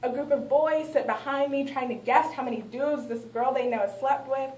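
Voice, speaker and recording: Young woman, spoken word poet, dramatic rhythmic recitation, high voice, echoey room. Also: steady tone, moderate pace, darkish tone. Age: young adult. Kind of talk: spoken word poetry performance. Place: small art gallery, indoors. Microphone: far camera, no mic. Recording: roomy, distant camera recording.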